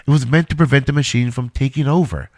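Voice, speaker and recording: A man's voice: mid-range voice, fast talker, dry recording, clean studio sound, lightly acted. A man playing an earnest scientist who defends his invention in an audio drama. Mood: explaining, uneasy.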